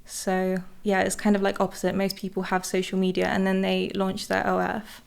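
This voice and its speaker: Guest, 20s, soft-spoken and laid-back, smooth tone with fry, even pitch. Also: female voice, moderate pace. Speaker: podcast guest, content creator. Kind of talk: casual chat.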